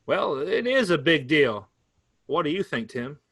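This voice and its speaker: Guy reading a folksy farmer big brother, coaxing, bright lively voice. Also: a little high, unhurried, no room sound, heavily acted. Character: easygoing older farmer brother who keeps the peace. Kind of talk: staged play reading.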